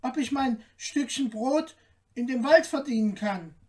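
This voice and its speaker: A man voicing a small, boastful, cheeky tailor in a fairy tale, with a high, light, cocky voice. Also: a little slow, a little mellow, distant mic. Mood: wary, casual.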